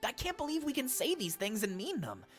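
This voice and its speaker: A woman's voice: a little high, huge pitch swings, brisk. An actress playing an outspoken, incredulous young female companion in a visual novel. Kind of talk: animated visual novel acting. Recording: background music under the voice.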